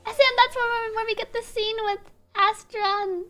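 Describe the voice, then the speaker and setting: A woman's voice: very high voice, steady tone, normal speed, sharp tone, a little hiss or hum. A woman streamer gushing and gasping as she reacts live to game cutscenes and reads subtitles aloud, with game audio behind her. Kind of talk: excited live reaction commentary. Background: game music and dialogue.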